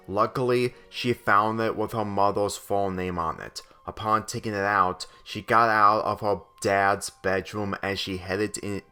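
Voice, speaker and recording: Low-voiced man narrating over music. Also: bright, forceful tone. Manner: halting, often stumbling and rereading phrases. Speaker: fan fiction reader. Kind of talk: narrated story reading. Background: background music.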